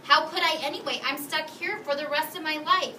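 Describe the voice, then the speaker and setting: A woman's voice: high voice, fairly quick, sharp tone, voice pushed up. A woman voicing a small, anxious, inquisitive orphan girl from a storybook, emphatic and questioning. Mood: curious, insistent. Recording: echoey room, distant mic, noisy background.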